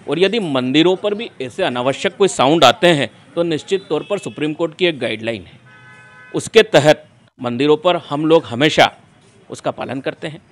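A middle-aged man speaks. He answers interview questions like a political orator, stressing and repeating his phrases deliberately. He is recorded indoors on a handheld reporter's microphone. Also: fairly high pitch, a bit bright. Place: small furnished room indoors, seated on a sofa. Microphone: handheld reporter's microphone held close.

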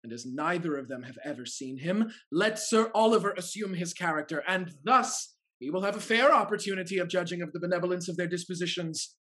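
A man acting a loyal, earnest family steward in a period stage comedy, measured and articulate. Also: high-pitched, bright, forceful tone. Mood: explaining, confident. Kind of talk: theatrical period comedy acting over video call. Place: indoors, on a video call.

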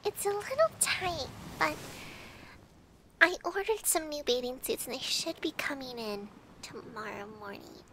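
A woman playing a bratty but affectionate, easily flustered young girlfriend in an intimate ASMR roleplay, pouty and teasing, often dropping to breathy murmurs. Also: high voice, expressive, unhurried, fairly bright tone, a little hiss or hum, low-quality recording. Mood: teasing, shy.

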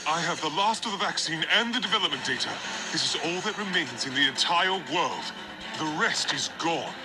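A man plays a grave, controlled figure of authority in a video game. His voice is fairly high and varies in pitch over music. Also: lively intonation, moderate pace, lightly acted. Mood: stern.

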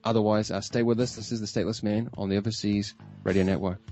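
A man hosting a talk radio show, interviewing a guest in a brisk, restarting way. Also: fairly low pitch, steady tone, dark tone, faint music behind. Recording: dry, dull lo-fi radio audio.

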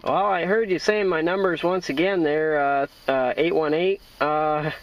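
An American man talking as a radio operator, trading call signs in a halting, folksy, friendly way. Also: fairly high pitch, fairly even intonation, distant mic, very noisy. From Iowa, United States.

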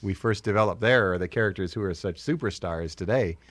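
A man's voice: low-pitched, fairly quick, fairly bright tone, no room sound. An American man, a musician, chatting casually and jokingly in an interview.

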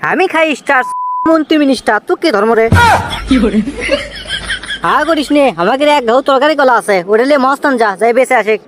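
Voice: high-pitched, very noisy, close to an everyday voice. A performer voicing a teasing, boastful young village buddy in a comic cartoon, bantering in a Bogura accent from northern Bangladesh. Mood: mocking. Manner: bantering, mocking, rapid-fire.

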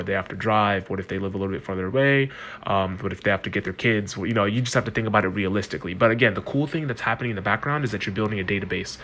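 A man's voice: lowish voice, a bit bright, slight background noise. A man running a casual walkthrough of a chatbot on his phone, talking loosely with restarts. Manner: quick, chatty, loose with restarts. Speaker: consultant demoing a chatbot. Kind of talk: casual screen-recorded walkthrough.

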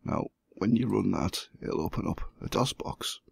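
A hoarse man who has the flu, walking through a computer setup step by step as the host of a gaming channel. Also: lowish voice, expressive, moderate pace, no echo. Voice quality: gravelly, husky. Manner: plain step-by-step explaining. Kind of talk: screen-recorded tutorial.

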